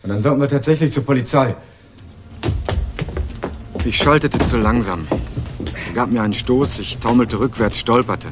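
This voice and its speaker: A man's voice: mid-range voice, moderate pace, pauses often, background noise, natural voice. A young man narrating a radio crime drama in the first person, playing a dogged student turned amateur sleuth in a dry, ironic tone. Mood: concerned. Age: young adult. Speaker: narrator and protagonist.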